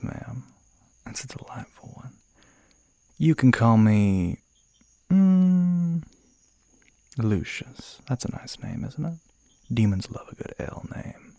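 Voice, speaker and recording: A man playing a suave, flirty crossroads demon in an ASMR roleplay, with a courtly, teasing Southern US drawl that often drops to a soft breathy murmur. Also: medium pitch, no room sound. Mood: charming, playful.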